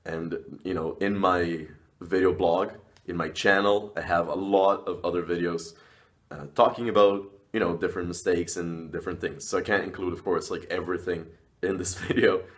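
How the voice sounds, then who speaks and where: A man with a deep, low voice talks straight to his viewers in a language-learning vlog. He speaks in an earnest, measured way, often pausing on "uh" and "you know", his clear throughout. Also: steady tone. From Brazil. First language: Portuguese. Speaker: language vlogger. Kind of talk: talking-head video advice.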